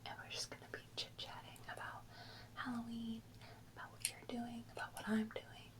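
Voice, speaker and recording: A woman's voice: a little high, completely flat delivery, normal speed. A woman hosting an ASMR video in a whisper, chatting casually and playfully to her viewers.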